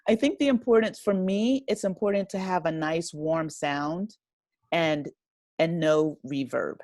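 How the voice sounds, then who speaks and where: A woman's voice: fairly low pitch, measured pace, darkish tone, no echo. Woman guest, dry close sound, casual. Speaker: interview guest, audio drama writer and director. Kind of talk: casual conversational interview.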